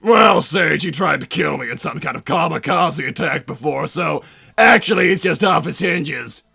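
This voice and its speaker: A man playing a pompous, irritable cartoon villain scientist and doting father, raspy and theatrical, bantering in character on a livestream. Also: a little high, expressive, normal speed, sharp tone, soft background music, exaggerated voice. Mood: exasperated. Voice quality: raspy, gravelly. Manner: theatrical, blustering, stammers when flustered. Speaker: livestream host reading donations.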